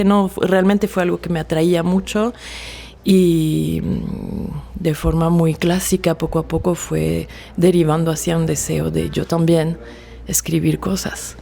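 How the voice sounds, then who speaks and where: A French woman in her forties, speaking Spanish as a second language, recalls her life as a writer in a halting, reflective way with frequent restarts. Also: fairly low pitch, gravelly. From France. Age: 40s. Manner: soft-spoken, halting with fillers and restarts. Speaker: author interviewee. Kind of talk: reflective personal testimony.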